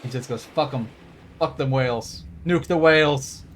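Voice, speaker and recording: A man's voice: medium pitch, normal speed, fairly bright tone, slight background noise. A man streaming a game with casual, rambling commentary in an irreverent, joking and sweary manner.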